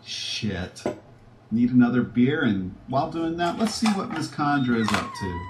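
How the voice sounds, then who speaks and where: A man's voice: mid-range voice, sing-song, measured pace. A man playing a foul-mouthed, paranoid, scheming scientist in a theatrical sci-fi audio drama, talking with gloating menace. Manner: muttering to himself, theatrical, swinging between glee and panic. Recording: roomy echo with background noise.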